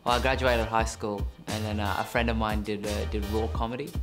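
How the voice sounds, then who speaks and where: Young man, comedian, low-pitched, easygoing interview delivery; music bed under. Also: a little fast. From Perth, Western Australia. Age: young adult. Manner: relaxed, hesitant with fillers in interview. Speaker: stand-up comedian. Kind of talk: interview and stand-up set. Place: indoor venue hallway.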